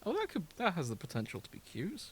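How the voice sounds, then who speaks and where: A man's voice: sits high, wildly swooping pitch, fast, husky. A British man chatting casually as a podcast co-host, with a jokey, bantering manner. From Britain. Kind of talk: casual comedic chat.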